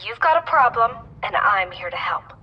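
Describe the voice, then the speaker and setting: An actress playing a brisk, urgent hacker ally in a video game, heard through a radio filter. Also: female voice, a little high, animated, husky, highs cut off. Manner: brisk, businesslike.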